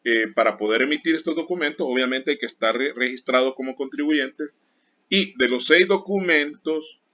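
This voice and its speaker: Bright-voiced teacher lecturing. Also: male voice, medium pitch, normal speed. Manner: explains with everyday examples and repeated warnings. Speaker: accounting teacher. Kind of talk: classroom lecture. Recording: dull lo-fi sound.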